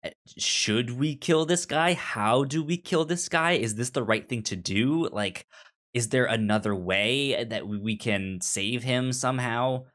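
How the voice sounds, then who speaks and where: A man co-hosting a podcast, casually discussing a film in a halting way, often repeating and restarting his words. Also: medium pitch, a bit bright. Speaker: podcast co-host reviewing a film.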